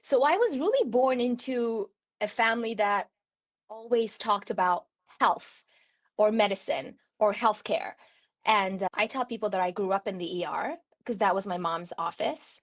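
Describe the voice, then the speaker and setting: A woman's voice: medium pitch, moderate pace. Chatty woman from Turkey, podcast guest. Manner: chatty, many "like" fillers, laughs often. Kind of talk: casual conversational storytelling.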